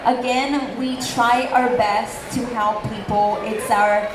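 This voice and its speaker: A young woman from Brazil, Portuguese her first language, talks earnestly with a fairly high voice. Her casual chat has plenty of ums. The sound is roomy, with clear noise behind her. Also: steady tone, slow. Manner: chatty, earnest, frequent ums. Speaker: convention panel host, theater teacher. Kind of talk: casual panel talk. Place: convention panel room. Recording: echoey room, background noise.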